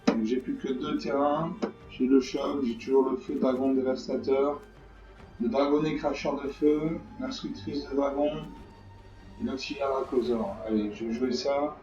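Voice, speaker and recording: A hoarse man hosting a gaming channel, talking through a card game in a rambling, repetitive way with many fillers. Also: mid-range voice, fairly even intonation, measured pace. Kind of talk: casual game commentary. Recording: distant mic, echoey room, dull lo-fi sound, faint music.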